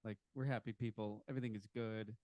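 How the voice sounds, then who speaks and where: A man's voice: fairly low pitch, steady tone, fast talker, no room sound, highs cut off. A man co-hosting a casual podcast chat, rambling and joking as he talks, often restarting his sentences. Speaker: podcast co-host. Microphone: home podcast mic, video recorded.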